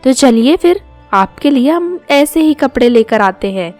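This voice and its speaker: A woman's voice: sits high, animated, measured pace, a little mellow. A woman puts on a young daughter-in-law from a storybook tale. She sounds sweet, obliging and eager to please. Light music runs underneath. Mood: coaxing. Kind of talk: storybook cartoon dialogue.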